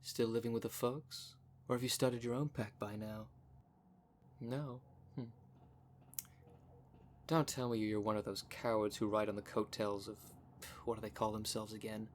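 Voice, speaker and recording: A man's voice: fairly low pitch, level tone, moderate pace, pauses often, slight background noise, natural voice. A man voicing a vain, flirtatious, snobbish werecat socialite in a scripted roleplay spoken to the listener, with a pompous, haughty manner. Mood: disdainful. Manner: pompous, haughty, smooth-talking. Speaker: voice actor in audio roleplay.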